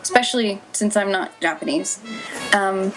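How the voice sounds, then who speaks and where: A woman's voice: moderate pace, fairly bright tone, faint music behind, poor audio. A woman guest chatting casually in an interview over a webcam video call from a room at home. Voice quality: says her voice is relatively low. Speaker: guest, maid cafe performer.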